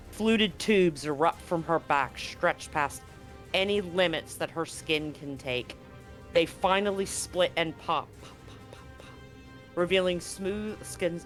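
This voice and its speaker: A man with a fairly high-pitched voice runs a tabletop horror game. He narrates dramatically and graphically, dropping in casual slang. Light music plays behind him. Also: fairly bright tone. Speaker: game master.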